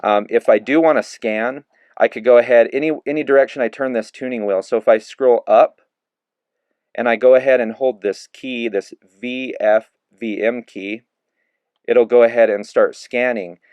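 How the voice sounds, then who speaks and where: A man giving a relaxed, casual hands-on review of a handheld radio, narrating each step with plenty of fillers as he works the controls. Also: mid-range voice, moderate pace, smooth, no room sound. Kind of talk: casual hands-on product demo.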